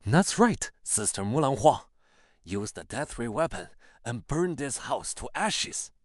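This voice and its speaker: A man voicing an excitable, quick-witted young woman who exclaims urgently in an audiobook. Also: mid-range voice, no echo, studio quality. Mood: goading. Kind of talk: audiobook dialogue.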